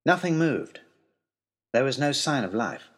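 A man's voice: mid-range voice, very animated, unhurried. A man with an English accent narrating a period adventure story in the first person, in a dramatic style.

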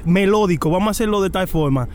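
A man with a high voice tells stories in an animated way, sprinkling in English slang. Faint noise sits behind him. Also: fast. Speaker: podcast co-host, former rapper. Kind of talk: casual podcast chat.

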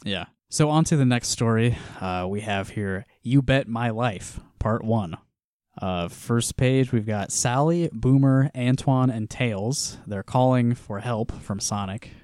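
A man co-hosting a casual podcast, walking through a comic with frequent laughter and quiet trailing-off lines. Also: medium pitch, a little slow, no echo, clean studio sound. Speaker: podcast co-host. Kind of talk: casual chat reviewing a comic.

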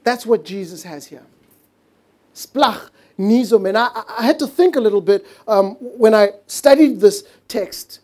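Earnest preaching man with mellow tone. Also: high-pitched. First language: not English. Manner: earnest, stretches key words slowly, drops to a hush for emphasis. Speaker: pastor. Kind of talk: sermon.

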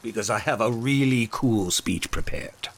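A man's voice: medium pitch, vocal fry, fairly bright tone. A man playing a melodramatic, menacing robot rabbit in a comic radio play, theatrical and easily irked. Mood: wistful, solemn. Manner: slow, theatrical, petulant when interrupted. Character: menacing, melodramatic male chocolate robot rabbit villain, wistfully dying.

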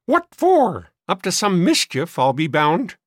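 A man voicing a sour, scornful old maiden sister in an audiobook, with a high, cracked voice. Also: huge pitch swings, unhurried, no room sound, studio quality, full character voice. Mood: cranky, demanding. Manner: sniffing, scornful, scolding. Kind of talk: audiobook character voice.

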